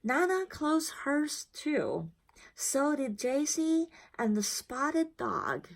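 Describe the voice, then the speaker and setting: A woman reading a children's storybook aloud in expressive, deliberately phrased narration. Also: high voice, vocal fry. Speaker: storybook reader.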